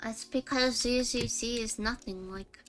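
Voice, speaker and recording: A woman's voice: on the high side, steady tone, a little slow. A woman hosting a livestream, chatting casually as she plays a game. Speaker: livestream host. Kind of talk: casual game-stream chat. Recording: game music behind the voice. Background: game music and character voices.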